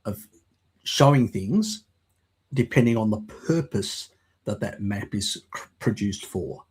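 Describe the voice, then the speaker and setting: Casting: man, low and husky, rambling explainer with lots of restarts, slight cold croak. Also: animated. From Australia. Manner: rambling, halting with fillers and restarts. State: lingering croak from a recent cold. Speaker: guest, works in mapping. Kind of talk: casual live-stream chat. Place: home, video call. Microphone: video call.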